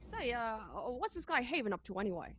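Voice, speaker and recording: Woman as a hesitant, wisecracking reporter, highish voice. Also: very animated, quick pace, a bit bright. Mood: brash, grumbling. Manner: hesitant, stammering drawl with frequent uhs. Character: brash, awkward, wisecracking male magazine reporter. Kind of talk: 1940s radio comedy acting.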